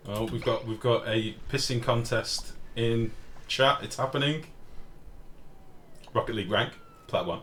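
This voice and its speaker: A man with a deep, booming voice giving casual, sweary live game commentary as a streamer and chatting with viewers. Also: measured pace, fairly bright tone. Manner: informal, sweary, reads and answers chat. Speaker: game livestreamer. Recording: clear background noise.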